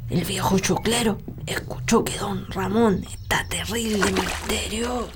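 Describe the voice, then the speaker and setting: A woman's voice: mid-range voice, measured pace, noisy background, lightly acted. A woman playing a bossy, mysterious old country healer in a radio drama, scolding in a rural Chilean accent. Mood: hushed, ominous. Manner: scolding, colloquial, sometimes hushed.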